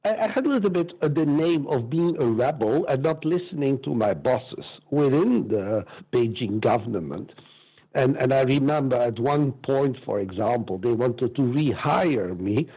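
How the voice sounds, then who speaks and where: A man's voice: mid-range voice, lively intonation, moderate pace, dark tone, husky, poor audio. A man in his sixties with a Belgian accent, telling stories emphatically and with strong opinions as an interview guest. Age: 60s. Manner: emphatic, opinionated, repeats words. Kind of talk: animated conversational storytelling.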